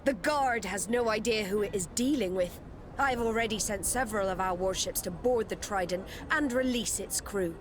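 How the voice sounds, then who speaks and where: An actress playing a stern, proud, indignant navy admiral in a video game, speaking in a formal, commanding manner. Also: female voice, medium pitch, normal speed, background noise, lightly acted. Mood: indignant, threatening. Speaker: game character actor. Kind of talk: dramatic video game acting.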